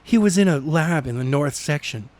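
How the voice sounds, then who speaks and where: A man's voice: on the high side, animated, normal speed, a little mellow, no echo, lightly acted. A man voicing a vengeful, impatient young woman who argues indignantly, in an amateur audiobook reading. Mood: impatient, smug.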